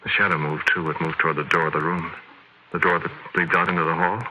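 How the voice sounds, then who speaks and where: An actor playing a shaken, haunted man who confides a ghostly encounter in halting, emphatic phrases, in the style of old-time radio horror. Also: deep voice, flat delivery, sharp tone, a little hiss or hum, low-quality recording. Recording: vintage radio recording.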